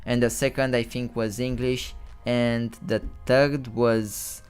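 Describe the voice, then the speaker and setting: A young man with a Romanian accent talks through a live stream in English, his second language. His voice is smooth and clean, and he rambles, restarts and trips over words now and then. Soft music plays faintly behind him. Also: mid-range voice, flat delivery, slow pace. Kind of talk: casual live-stream commentary.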